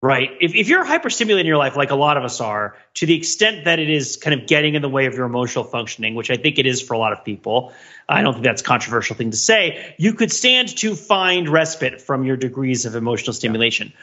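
A man's voice: medium pitch, sing-song, fast, sharp tone, highs cut off. A man co-hosting a podcast in casual discussion, analytical and wordy with a joking streak. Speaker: podcast co-host.

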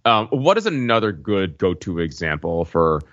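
A man's voice: low voice, big pitch swings, moderate pace, a bit bright, no room sound, lo-fi sound. A man co-hosting a podcast in casual discussion. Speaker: podcast co-host.

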